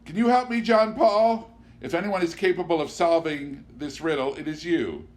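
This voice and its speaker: High, spirited young woman, voiced by a man. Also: moderate pace, close to an everyday voice. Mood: pleading. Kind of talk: audiobook character dialogue. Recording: clear background noise with hum.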